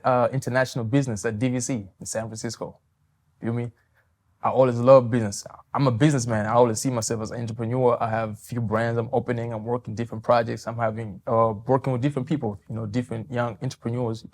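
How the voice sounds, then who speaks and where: A man in his twenties from Tanzania, answering interview questions politely, softly and with loose, hesitant phrasing. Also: medium pitch, flat delivery, fast. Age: 20s.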